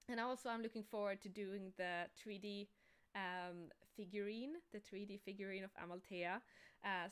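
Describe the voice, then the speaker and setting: A woman's voice: mid-range voice, clear, resonant tone. A woman co-hosting a casual livestream as a game developer, talking in an upbeat, enthusiastic way.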